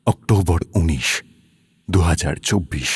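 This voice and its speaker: A man's voice: low voice, animated, unhurried, a little mellow, husky, no room sound, clean studio sound. A man reading scripted narration for an audio detective story. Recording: music under the voice.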